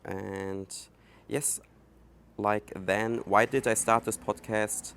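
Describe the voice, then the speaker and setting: Slow, deep-voiced German man talking outdoors. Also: level tone, fairly bright tone. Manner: halting, thinking aloud with many fillers. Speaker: podcast host. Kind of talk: casual solo podcast monologue. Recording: faint outdoor background noise. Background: outdoor ambience.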